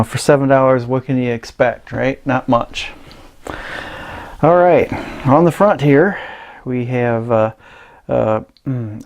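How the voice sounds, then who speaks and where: A man's voice: medium pitch, a little mellow, highs cut off. Hesitant man giving a casual product review. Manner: hesitant, halting, rambling delivery. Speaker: radio reviewer and presenter.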